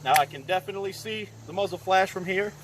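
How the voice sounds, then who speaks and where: A man's voice: high voice, quick pace. A man casually talking through a firearm test outdoors at night, plainspoken and thinking aloud. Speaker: gun reviewer filming a test. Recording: noisy outdoor night recording.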